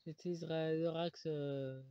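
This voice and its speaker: A man with a cold, doing a solo tarot card reading, slowed by many pauses and fillers. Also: fairly high pitch, steady tone, clear, resonant tone, no room sound. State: stuffy with a cold. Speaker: tarot reader. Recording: dull lo-fi recording.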